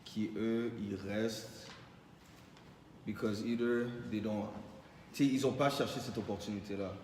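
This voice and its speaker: A man's voice: on the low side, steady tone, measured pace, darkish tone, reverberant, long reverb tail, far from the mic, slight background noise. A man with a Quebec French accent, easygoing in a casual podcast chat, switching between French and English. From Montreal, Quebec. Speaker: podcast co-host.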